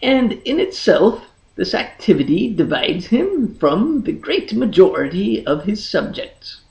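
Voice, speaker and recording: A storyteller reading a fantasy tale in a dramatic, deliberate manner with lingering pauses. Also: medium pitch, big pitch swings, a little mellow, poor audio. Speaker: audiobook reader. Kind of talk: dramatic scripted fantasy narration.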